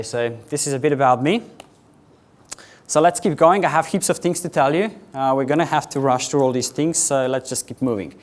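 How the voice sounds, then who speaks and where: A young man from Slovenia with a Slovenian accent, giving a quick, chatty technical conference talk to a live audience. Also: mid-range voice. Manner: quick, chatty presenter with filler words, addressing a live audience. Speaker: conference speaker.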